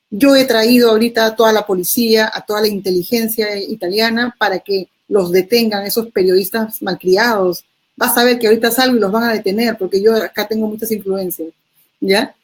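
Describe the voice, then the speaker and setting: A woman's voice: medium pitch, rough recording. A woman, a journalist guest recounting a reporting experience in conversational storytelling. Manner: animated, laughing as she tells the story.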